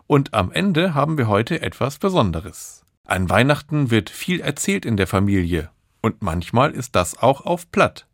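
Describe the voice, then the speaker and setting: A man's voice: mid-range voice, big pitch swings, measured pace, no echo, studio quality. A man hosting a radio programme, presenting scripted introductions and links. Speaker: radio host.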